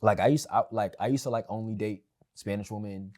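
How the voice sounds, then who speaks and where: A man's voice: on the low side, a little fast, darkish tone. A young man on a podcast, talking casually and rambling, with many fillers and restarts. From family of West Indian background. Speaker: podcast guest.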